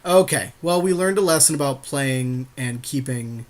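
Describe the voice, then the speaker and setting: A man's voice: medium pitch, lively intonation, normal speed, slight background noise. A man co-hosting a gaming podcast, chatting informally with his partner about card plays, with some swearing. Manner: informal, profane banter. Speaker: podcast co-host playing an online card game. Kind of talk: casual game commentary, chat with partner.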